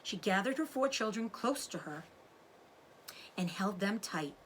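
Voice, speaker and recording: A woman's voice: mid-range voice, measured pace, a little hiss or hum. A woman reading a children's story aloud expressively, like a teacher explaining things to young listeners. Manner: animated, explains words to children. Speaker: teacher-like read-aloud host.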